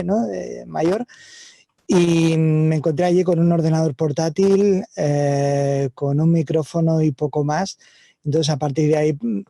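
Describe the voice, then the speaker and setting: A man with a Spanish accent from Spain speaks on an online panel, reflecting on his project in a halting way. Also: fairly high pitch, vocal fry, warm tone, no room sound. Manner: halting, reflective, many restarts. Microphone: video call.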